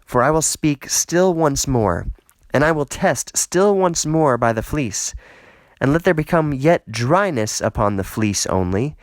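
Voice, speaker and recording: A man's voice: medium pitch, animated, unhurried, no room sound, voice pushed up, lightly acted. A man voicing a frightened, awestruck Gideon who pleads with God in a dramatised scripture reading. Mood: earnest, pleading. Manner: pleading, exclamatory.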